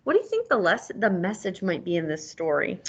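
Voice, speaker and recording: A woman's voice: fairly low pitch, sing-song, a little fast, husky, lo-fi sound. A woman reading a storybook aloud like a teacher, encouraging and prompting the listener with questions.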